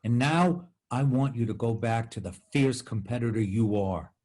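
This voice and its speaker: An actor playing a fatherly, encouraging baseball club boss in a slow, deliberate manner at a table read. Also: mid-range voice, a little mellow, close to an everyday voice. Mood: solemn, encouraging.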